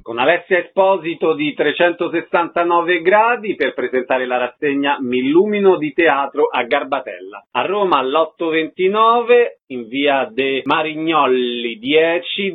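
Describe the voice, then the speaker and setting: Male radio host doing interviews, highish voice, bit lo-fi. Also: animated, measured pace, hardly any pauses, faint music behind. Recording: slightly dull, lo-fi radio sound.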